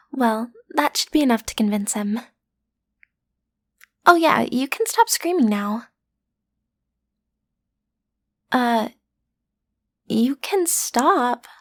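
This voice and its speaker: A woman's voice: sits high, exaggerated voice. A woman playing a cute teenage girl who is training to be a supervillain, sassy and theatrical but a little awkward, in a roleplay audio drama. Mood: sheepish, reassuring. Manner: theatrical, sassy, hesitant when breaking character.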